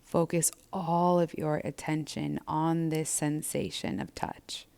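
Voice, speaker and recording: A woman leads a guided breathing and body scan practice as a yoga instructor. Her voice sits low, with a clear, smooth tone and a creaky fry. She speaks gently, in measured, soothing phrases. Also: little pitch movement.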